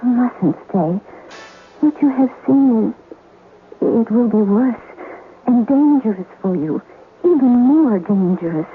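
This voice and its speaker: An actress playing the ghost of a sea captain's wife in a radio drama, speaking formally and gravely with ominous warnings. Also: female voice, a little high, expressive, slow, pauses often. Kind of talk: 1970s radio drama acting. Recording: thin phone-like old radio recording, hiss.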